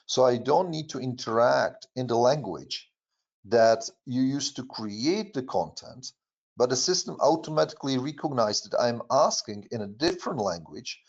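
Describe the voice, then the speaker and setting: A man with a Czech accent, Czech his first language, presenting a software demo in a webinar with frequent fillers and restarts. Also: mid-range voice, animated, muffled recording. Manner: halting, many fillers and restarts. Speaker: product presenter.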